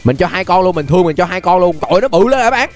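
Young man, Southern Vietnamese accent, high voice, excited gaming commentary, exclamatory, repetitive, music underneath. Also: rapid-fire. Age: young adult. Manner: exclamatory, repeats phrases, drops in English interjections. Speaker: gaming YouTuber. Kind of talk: excited gameplay commentary for viewers. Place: indoor gaming room.

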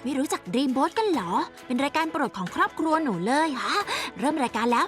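A precocious little girl scientist in a cartoon. Her voice is high and swings freely in pitch as she lectures in formal, matter-of-fact terms, with music clearly behind her. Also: animated, a little fast. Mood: chatty. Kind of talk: animated cartoon dub.

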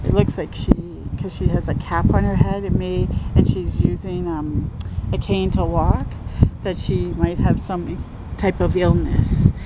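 An older woman hosting a children's storytime, reading aloud with expressive, encouraging asides to young viewers. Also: on the low side, a little slow. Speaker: storytime host. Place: outdoors under trees by a river. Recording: loud outdoor wind and ambient noise.

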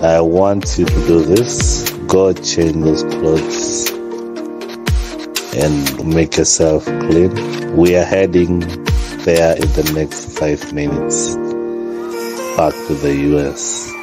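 A man's voice: very deep voice, steady tone, slow pace. A man playing a bossy, suspicious husband in an audio drama, with a commanding, exclamatory manner. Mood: stern, impatient. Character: bossy, suspicious husband and crime boss, Mister Miller. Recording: music behind the voice.

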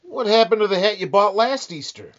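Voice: mid-range voice, expressive, brisk, natural voice. An actor playing a weary, sarcastic, penny-pinching husband in an old-time radio comedy, grumbling and blustering wryly. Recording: dull, lo-fi band-limited sound.

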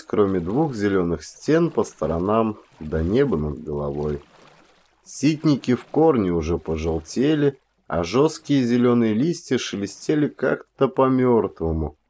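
Guy reading an audiobook, lowish voice, measured actorly storytelling. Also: a little mellow. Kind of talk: literary storytelling narration.

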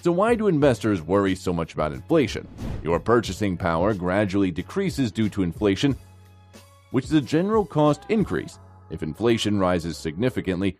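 A man narrates a scripted finance explainer in a fairly low voice. His pitch moves in a lively, animated way from phrase to phrase, and music plays faintly behind him. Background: background music.

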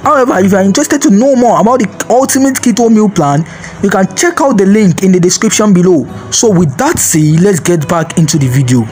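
A man's voice: sits high, expressive, a little fast, a little mellow. A man narrating a scripted health video as the channel host, reading evenly with a sometimes sing-song lilt. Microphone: voice-over. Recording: faint background music.

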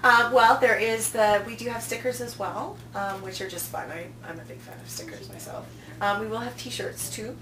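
A woman's voice: a little high, moderate pace, bright, forceful tone. A woman in her thirties speaking casually as an interview guest, telling anecdotes and acting out quoted remarks. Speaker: festival programming director, interview guest. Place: small office, indoors. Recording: roomy, distant mic, noticeable hum.

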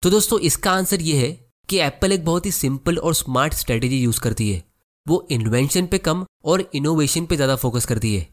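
Man, fairly high voice, explainer voice-over, friendly direct address. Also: animated. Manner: addresses viewers as friends, reads checklist questions slowly. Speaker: finance educator. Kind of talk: scripted explainer narration.

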